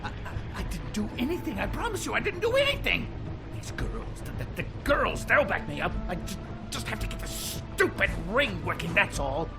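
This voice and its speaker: Craven middle-aged official for game drama: pleading, stuttering, hugely animated pitch, over music. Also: male voice, normal speed. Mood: panicked, pleading. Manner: stammering, pleading. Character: cowardly, desperate middle-aged male embezzling official. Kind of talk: dramatic video game acting. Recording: music under the voice.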